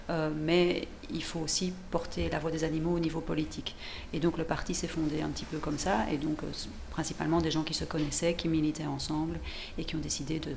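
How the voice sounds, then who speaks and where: A woman activist speaking hesitantly, her voice very low and gravelly with vocal fry. A faint room hum sits behind her. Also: darkish tone. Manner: plain, hesitant explaining. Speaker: animal rights activist and candidate. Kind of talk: spontaneous speech. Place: television studio in Brussels.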